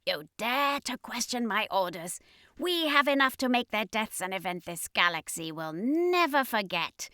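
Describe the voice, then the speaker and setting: A woman's voice: a little high, sing-song, moderate pace, pushed and bright, no echo, clean studio sound, pitched above the performer's own voice. A woman voicing a cruel male alien commander in a science fiction story, imperious and vengeful. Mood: menacing, vengeful. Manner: imperious, gloating.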